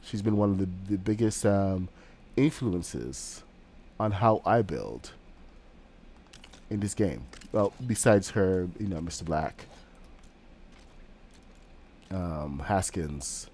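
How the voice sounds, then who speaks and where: A man's voice: sits low, lively intonation, takes it slowly, pauses often, mellow, slight background noise. A man streaming a building game, talking casually while he builds, with a cold that makes him sniffle, rambling with lots of ums and restarts. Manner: rambling, with frequent fillers and restarts.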